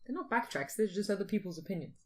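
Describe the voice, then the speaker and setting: A woman's voice: medium pitch, lively intonation, fast talker. A woman co-hosting a podcast in casual, teasing, informal conversation. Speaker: podcast co-host.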